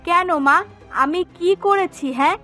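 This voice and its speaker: A woman voicing a bad-tempered, sharp-tongued young adult daughter in a cartoon, accusing and indignant. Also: extremely high pitch, unhurried, exaggerated voice. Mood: sarcastic, indignant. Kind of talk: Bengali cartoon voice acting. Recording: music behind the voice.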